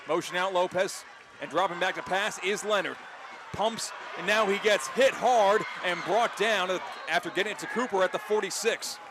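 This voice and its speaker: A man calling live high school football as a radio play-by-play announcer, projecting with emphatic, excitable delivery. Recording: stadium crowd noise behind broadcast commentary. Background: loud outdoor stadium crowd and ambience.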